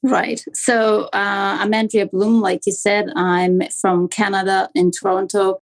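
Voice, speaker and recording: A woman's voice: medium pitch, level tone, measured pace, gravelly, rough recording. A woman with a strong Romanian accent talks as a podcast guest. She is frank and jokes easily, in an ordinary middle-range voice. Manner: frank, joking. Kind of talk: casual interview chat.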